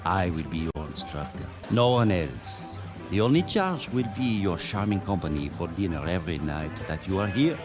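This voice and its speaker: Flirtatious Frenchman, French accent, low register, very animated delivery, courtly radio-drama charm over music. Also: moderate pace. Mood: gallant, playful. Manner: suave, courtly, theatrical. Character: charming, flirtatious Frenchman hosting at a ski lodge.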